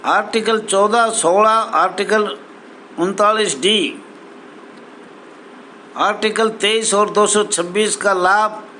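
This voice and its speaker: High-pitched man speaking slowly and deliberately. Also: pushed and bright, slight background noise, poor audio. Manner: deliberate, halting, emphatic phrase-by-phrase delivery. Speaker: spokesman for a welfare association. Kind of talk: formal address to camera, appeal.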